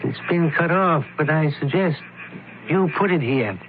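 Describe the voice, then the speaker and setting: An actor playing a shrewd, methodical male criminologist, deliberate and authoritative, in 1970s radio drama style. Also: on the high side. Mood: accusing. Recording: noisy, narrowband old radio broadcast.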